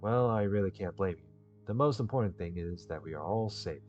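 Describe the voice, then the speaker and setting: A man's voice: sits low, fairly quick, darkish tone, natural voice. A man playing the weary young male protagonist of a visual novel. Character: young male protagonist, weary, mildly annoyed but forgiving. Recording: dull lo-fi mic with music behind.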